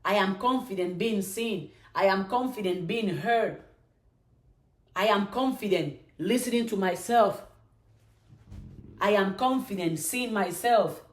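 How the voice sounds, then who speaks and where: A woman hosting a motivational live stream, chanting affirmations and answering questions in a slow, repetitive way. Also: medium pitch. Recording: echoey room.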